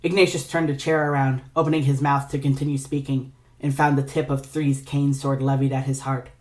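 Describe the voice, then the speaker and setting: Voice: mid-range voice, level tone, a little fast, darkish tone. A narrator reading scripted fiction aloud as an audio story.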